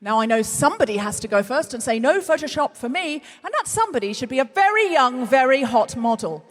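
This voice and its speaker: A woman's voice: high voice, very animated, a little fast. A woman hosting a live comedy show, telling dry, self-mocking stories to a big audience. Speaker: podcast host. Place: large concert hall before an audience. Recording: live hall recording with long reverb.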